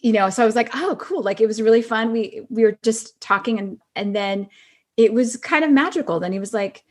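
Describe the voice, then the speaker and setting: A woman's voice: fairly high pitch, fairly quick. Middle-aged female podcast guest, conversational storyteller, informal and filler-heavy. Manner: chatty, peppers talk with "like" and "you know". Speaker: podcast guest, actress and singer-songwriter. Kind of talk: casual personal storytelling in a chatty interview.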